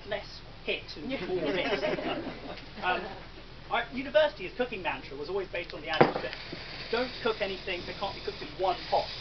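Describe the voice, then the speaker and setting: An English man in his thirties gives a best man's toast, his voice high and lively as he lands dry jokes between ums. He is heard from across a big wedding marquee by a distant camera. The sound is dull and muffled, with clear room noise behind him. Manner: dry joking delivery with ums and pauses for laughs. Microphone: far camera, no mic.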